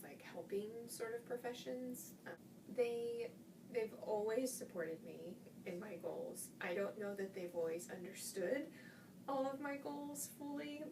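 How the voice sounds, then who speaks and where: A woman in her forties from Indiana, thoughtfully answering interview questions with pauses. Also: medium pitch, roomy sound. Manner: thoughtful, pausing with fillers. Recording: distant mic with background noise.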